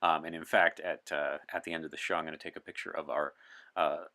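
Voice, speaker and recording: A man chatting as an interview guest, earnest and deliberate, with playful dry humor. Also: a bass voice, little pitch movement, creaky, bright, forceful tone. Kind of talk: in-character interview chat as a time traveler from 1964.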